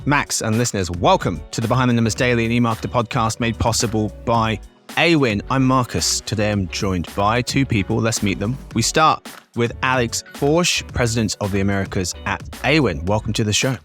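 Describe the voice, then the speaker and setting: A man's voice: on the low side, a little fast, a bit bright, soft background music. A man hosting a business podcast in a cheery, jokey, chatty way. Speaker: podcast host.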